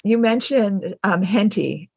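A woman's voice: medium pitch, lively intonation, takes it slowly, creaky, a little mellow, husky, no room sound. A middle-aged woman hosting a casual interview, asking questions and responding conversationally. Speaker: podcast host, interviewer.

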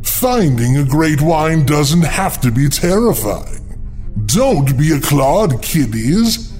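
A man's voice: on the high side, animated, takes it slowly, a little mellow, faint music behind. A man playing a gleeful, mocking ghoul of a horror host, announcing in a theatrical, taunting singsong. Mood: sly, gleeful. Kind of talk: scripted macabre announcement with puns.

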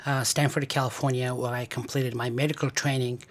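A middle-aged man from Afghanistan, a physician scientist guest on a radio interview, speaking as an in an earnest, halting way with many fillers. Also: medium pitch, little pitch movement.

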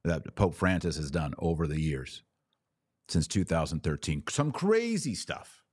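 An American man with a very low voice hosts a live commentary show. He is blunt and opinionated, often repeating a phrase to drive it home. The sound is dry, with hardly any room on it. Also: darkish tone, breathy edge, no room sound. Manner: emphatic, repeats phrases for stress. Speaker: podcast host answering live audience comments.

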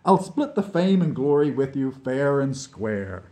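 A man's voice: mid-range voice, big pitch swings, a little slow, dark tone, pitched above the performer's own voice. A man voicing a breezy, goading Soviet rocket visionary in an audiobook, with an airy, coaxing manner. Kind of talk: dramatized audiobook dialogue.

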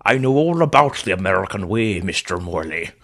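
Casting: male narrator doing a worn-out old superhero, strong but wheezy voice, lively and bright, dry wit. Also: low voice, a little fast, heavily acted. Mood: sardonic. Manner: weary, dry and sardonic. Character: old stooped weary male superhero, wry.

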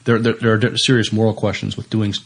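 A man in a casual podcast discussion, thinking aloud haltingly and often repeating his words. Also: on the low side, little pitch movement, gravelly, slightly rough. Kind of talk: casual discussion of TV science fiction.

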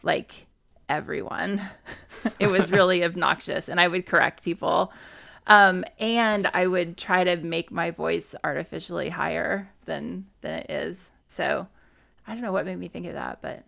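A woman's voice: fairly low pitch, unhurried, vocal fry, a bit bright. A middle-aged woman from southern Missouri, co-hosting a podcast and telling candid, reflective stories in casual chat. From southern Missouri, USA. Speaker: podcast co-host.

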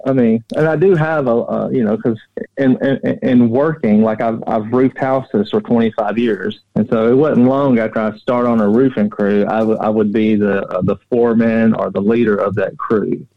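A middle-aged man telling personal stories as an interview guest, plain-spoken and halting, often repeating words. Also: lowish voice, fairly even intonation, creaky, no echo. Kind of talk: casual personal storytelling. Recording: dull, muffled but dry audio.

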